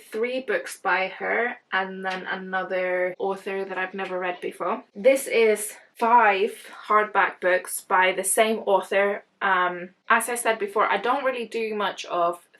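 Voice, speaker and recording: A woman's voice: mid-range voice, steady tone, slow pace, hardly any pauses, a bit bright, a little hiss or hum, poor audio. A young woman from Iceland, with as her tongue, rambling cheerfully through a casual book unboxing and often restarting her words. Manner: chatty and rambling, often restarts words, gushes about books. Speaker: book vlogger.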